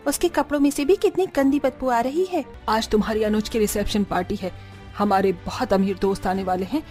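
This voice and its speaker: महिला की आवाज़ में घमंडी, तानेबाज़ अमीर सास. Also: female voice, fairly high pitch, a little fast, darkish tone, faint music behind, lightly acted. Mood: sneering. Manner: scornful, sneering. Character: snobbish, contemptuous rich mother-in-law.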